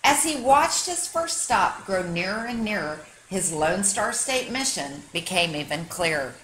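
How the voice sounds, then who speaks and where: A woman reading a children's picture book aloud like a teacher, with expressive, dramatic storytelling for young listeners. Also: mid-range voice, measured pace, a little hiss or hum, rough recording.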